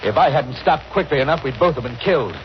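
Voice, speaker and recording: A man's voice: medium pitch, expressive, quick pace, natural voice. An actor playing a resolute, protective man in 1940s radio style, firm and urgent. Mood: tense, resolute. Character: resolute, protective man, suspicious and take-charge. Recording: muffled noisy old radio recording.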